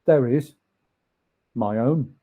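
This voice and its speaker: A man voicing a gigantic, genial yet admonishing spirit with emphatic, deliberate delivery in a storybook reading. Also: medium pitch, narrow, tinny audio, voice pushed down. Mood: genial, firm. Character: gigantic male Christmas spirit, genial but solemnly admonishing.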